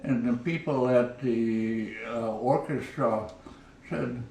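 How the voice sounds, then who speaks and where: A man in his nineties from Minnesota, reminiscing in an interview at home, halting and repeating words as he goes. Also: medium pitch, creaky, a little mellow, echoey room, recorded from a distance, low-quality recording. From Minnesota, USA. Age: 90s. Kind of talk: oral history reminiscing. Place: living room at home.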